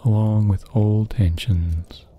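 A man narrating a guided sleep meditation in a soft, breathy, soothing voice, gently hushed, with long pauses between phrases. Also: fairly low pitch, fairly even intonation, muffled recording.

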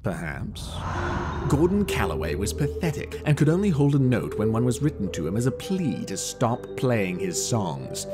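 An actor playing a haughty, brooding detective in an audio drama, with ornate, theatrical diction. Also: male voice, medium pitch, very animated, moderate pace, music in the background, lightly acted. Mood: brooding, contemptuous. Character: haughty, brooding male detective investigating a village murder. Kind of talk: dramatic audio novel narration and dialogue.

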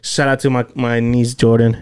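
A man's voice: medium pitch, normal speed, clear, resonant tone. A man in his thirties co-hosting a casual podcast, telling stories animatedly with plenty of swearing, into a studio mic in a small home studio. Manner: animated storyteller, heavy swearing. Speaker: podcast co-host. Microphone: boom-arm studio mic with headphones.

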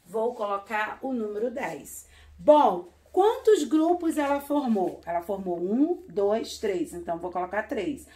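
A woman in her forties teaching young children from a classroom, counting slowly in a sing-song way and repeating each number. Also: medium pitch, big pitch swings, warm tone. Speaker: primary school teacher. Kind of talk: remote lesson for young children. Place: classroom with wall charts, indoors.

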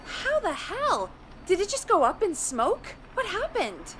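A woman acting an excitable, worried radio producer in a dramatic video game. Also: extremely high pitch, unhurried, slight background noise, exaggerated voice, poor audio. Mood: shocked.

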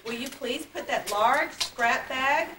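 Woman acting cheerful girl princess, puppet theatre, high voice, earnest and persuasive, distant camcorder sound. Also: takes it slowly. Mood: eager, coaxing. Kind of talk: amateur puppet show stage acting. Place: indoor convention stage, distant camera. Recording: echoey, distant amateur camcorder, noisy.

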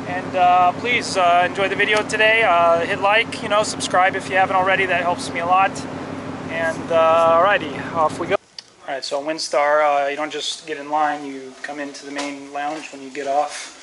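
Man, thirties, high voice, upbeat rambling travel vlog, arm's-length camera, loud outdoor noise. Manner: rambling, upbeat, many fillers. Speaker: travel vlogger. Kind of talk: casual travel vlog narration. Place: outdoors on a ship deck and in town. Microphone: action camera held at arm's length.

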